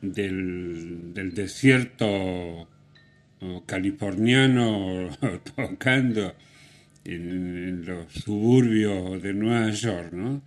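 A man hosting radio, telling music stories casually in a halting, rambling, conversational way. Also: deep voice. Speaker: radio host.

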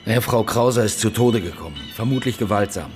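Deep male police boss, acted, sober and probing, naturalistic radio play with faint music. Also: darkish tone, natural voice. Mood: polite, probing. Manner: measured, hesitant questioning. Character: sober, methodical male police chief detective. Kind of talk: naturalistic radio drama dialogue.

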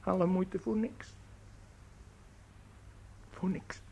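A man's voice: sits high, fairly even intonation, drawn-out words, vocal fry, a little mellow, breathy edge, exaggerated voice. An actor playing a bitter middle-aged opera teacher in a radio drama, theatrical and maudlin, stammering and repeating his words. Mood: broken, despondent.

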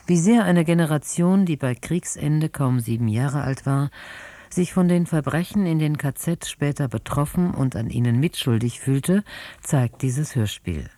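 Announcer for radio play introduction: soft mellow tone, formal measured reading, very dry studio sound. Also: mid-range voice, no room sound.

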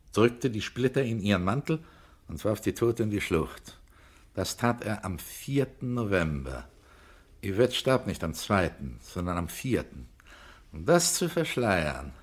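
A shrewd detective with a low voice, in a radio crime drama. He speaks precisely and with measured insistence, laying out his case in clipped phrases to corner his man. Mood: measured recounting. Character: shrewd male police investigator, coolly cornering a suspect. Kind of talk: German radio crime drama, narrating and interrogating.

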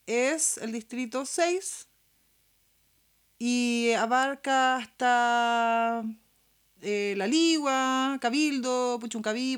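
A woman with a Chilean accent co-hosting a casual political discussion, speaking colloquially and repeating words for emphasis. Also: fairly high pitch. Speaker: podcast co-host.

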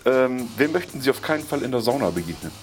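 A man's voice: mid-range voice, sing-song, quick pace, soft background music. A middle-aged man hosting a radio interview, asking questions formally and politely, with hesitant fillers. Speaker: radio host.

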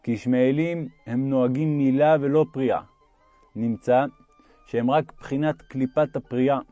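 A man's voice: mid-range voice, steady tone, warm tone, no room sound, dull audio, soft background music. A man reading a religious text aloud as an audiobook narrator.

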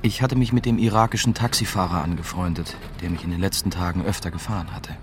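Actor as deep-voiced journalist narrator. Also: male voice, steady tone, quick pace, noisy background, lightly acted. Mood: reflective. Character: reflective young male journalist narrating his story. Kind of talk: scripted radio drama narration.

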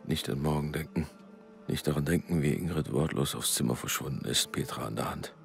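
A man's voice: rumbling low, a little fast, vocal fry. An actor playing a reserved, uneasy middle-aged engineer father in a German radio drama, hesitant and subdued. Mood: brooding. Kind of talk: German radio drama acting with inner monologue. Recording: studio radio drama, faint music underneath.

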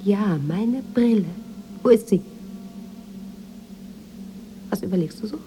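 A woman's voice: medium pitch, slow pace, mellow, a little hiss or hum, natural voice. An actress in a radio drama playing a weary, imperious woman writer who speaks in a curt, brooding way. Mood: irritated, commanding. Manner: curt, haughty, introspective. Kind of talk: 1980s German radio drama acting.

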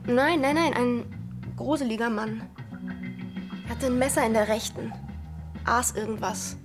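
Actress as high-voiced restless village girl, music behind. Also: measured pace, natural voice. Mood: dreamy, haunted. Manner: intense, short fragmented phrases. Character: restless, brooding village girl, haunted and bitter. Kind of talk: radio drama acting.

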